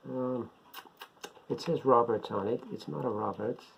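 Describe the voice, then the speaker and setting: An older man giving a casual, unscripted product demonstration, talking haltingly as he thinks aloud. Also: medium pitch, vocal fry. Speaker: presenter of a beginners' radio hobby video. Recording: dull lo-fi sound, faint background noise.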